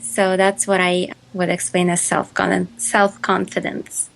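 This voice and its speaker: A woman's voice: on the low side, monotone, measured pace, gravelly, poor audio. A woman from Ukraine with a Ukrainian accent, answering interview questions as a podcast guest, with many fillers and restarts. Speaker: guest entrepreneur.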